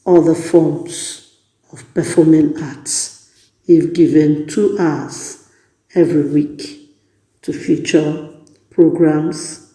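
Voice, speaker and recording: A man reading out a prepared answer in a formal, measured and deliberate way. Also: fairly high pitch, warm tone. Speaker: guest contributor. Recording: roomy, echoing sound.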